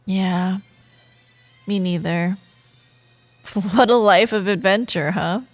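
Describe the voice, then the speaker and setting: A woman acts out a bantering female office rival with a soft, admiring side, for a romantic audio roleplay. Her delivery is slow and teasing, full of expressive pauses. The voice is close and dry over faint music. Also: medium pitch, no echo. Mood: teasing, flirty.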